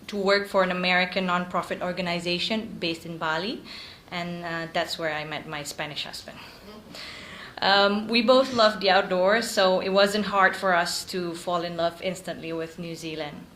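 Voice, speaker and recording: Woman from indonesia giving an earnest speech at a club, lowish voice, echoey room, faint hum behind. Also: a bit bright. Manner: earnest, with frequent ums. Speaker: guest speaker. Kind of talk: prepared speech at a club meeting.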